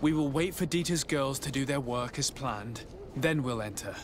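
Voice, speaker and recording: A man's voice: mid-range voice, moderate pace, noisy background, lightly acted. A man playing a composed, courteous noble diplomat in a video game, speaking politely and with discretion. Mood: calm, discreet. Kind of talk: video game cutscene acting.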